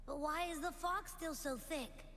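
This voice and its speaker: A woman's voice: high voice, a little slow. A woman voicing a small, childlike female companion in a video game, grumbling as she talks. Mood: weary complaint. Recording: quiet, distant, background game noise.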